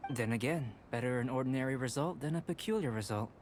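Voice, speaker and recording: An actor playing a calm, composed young alchemist who explains things in a measured, scholarly way. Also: male voice, mid-range voice, a little hiss or hum, natural voice.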